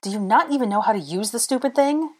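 Woman acting a sneering, husky teenage wizard boy. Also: on the high side, lively intonation, fast, voice pushed up. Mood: snarling, impatient. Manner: snappish, sneering, barking. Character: arrogant, hot-tempered teenage wizard boy, snarling and scornful. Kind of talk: dramatised audiobook character dialogue.

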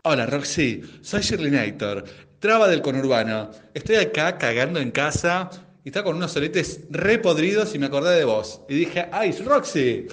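A man's voice: a little high, sing-song, normal speed, a bit bright. A man playing a brash, crude, melodramatic drag correspondent from the suburbs, with an Argentine accent, telling comic anecdotes. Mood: brazen confiding.

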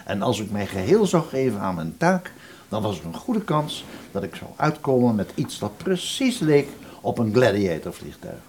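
A man's voice: mid-range voice, very animated, moderate pace, a little mellow, pitched above the performer's own voice. A man voicing a grand, emphatic engineer in a radio play, declamatory, with noise in the recording. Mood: grand, sardonic. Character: imagined aircraft engineer, grandly solemn, emphatic. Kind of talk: quoted speech in a radio play.

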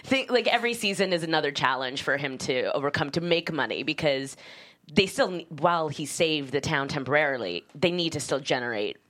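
A woman screenwriter being interviewed on a casual panel, talking in a halting, rambling, conversational way. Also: deep voice, expressive, fairly bright tone. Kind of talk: casual panel chat about a script.

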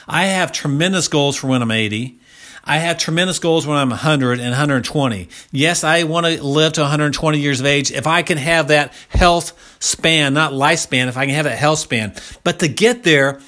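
Bright-voiced older man giving a motivational talk. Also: a little high. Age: around 60. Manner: emphatic, repeats key phrases for stress. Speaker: health coach and motivational speaker.

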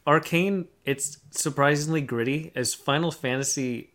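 A man co-hosting a podcast, explaining things casually in a measured, informative way. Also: fairly high pitch, a bit bright. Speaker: podcast co-host.

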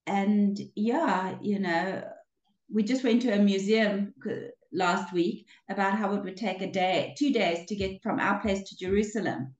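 A woman's voice: mid-range voice, steady tone, a little slow, darkish tone, echoey room, muffled recording. An older woman from Africa, a relationships coach telling her story in an interview, with hesitations and restarts. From South Africa. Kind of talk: casual interview conversation.

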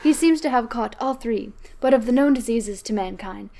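A woman's voice: a little high, normal speed, gravelly, warm tone. A woman co-hosting a podcast, reading a joke email aloud and giggling through it. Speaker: podcast co-host.

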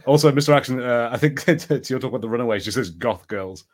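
A man's voice: medium pitch, fast talker. A man co-hosting a podcast, talking casually and conversationally. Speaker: podcast co-host. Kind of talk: casual pop culture discussion.

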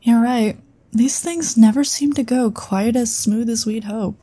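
Lady playing a psychic space agent, mellow and earnest, talks conversationally, sci-fi audio drama. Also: a little high, unhurried, breathy edge. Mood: casual. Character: earnest female psionic agent, loyal but wary.

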